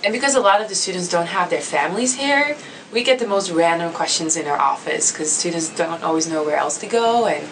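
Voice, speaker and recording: A woman's voice: on the low side, lively intonation, fairly quick, fairly bright tone. A woman, chatting easily with students about her work as an international student coordinator, with plenty of fillers. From Netherlands. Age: 30s. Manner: easygoing and chatty, with many fillers and frequent laughter. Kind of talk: casual self-introduction to students. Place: classroom. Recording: slightly distant mic with faint background noise.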